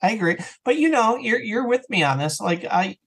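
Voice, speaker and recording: A man with a fairly high voice speaks as a guest in a casual interview. He is earnest and animated, talking like a podcaster venting to a friendly colleague. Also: normal speed, husky. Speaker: interview guest, audio drama creator and podcaster.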